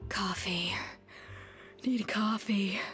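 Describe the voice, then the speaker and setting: A woman's voice: mid-range voice, steady tone, drawn-out words. A woman playing a proud, driven young chef in a video game, dramatic and self-assured. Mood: weary, craving. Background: game music.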